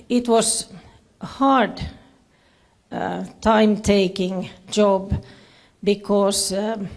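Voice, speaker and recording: Creaky-voiced Finnish woman presenting haltingly. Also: mid-range voice, a little mellow. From Finland. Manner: halting, searching for words in a second language. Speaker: conference speaker, education official. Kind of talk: presentation to an audience with slides.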